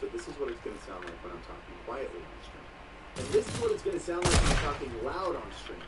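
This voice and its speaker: A man with a deep voice talks candidly to his viewers, comparing gear in an easy, relaxed way. He sits some distance from the microphone, and loud steady background noise runs under everything he says. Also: quick pace. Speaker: streaming gear reviewer. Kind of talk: casual product review to camera.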